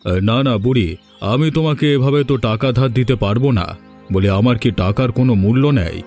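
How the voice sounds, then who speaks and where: Man voicing a fast-talking stingy moneylender. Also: mid-range voice, darkish tone, faint music behind, close to an everyday voice. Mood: dismissive. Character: stingy, self-important village moneylender man. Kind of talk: Bengali cartoon fairy-tale dubbing.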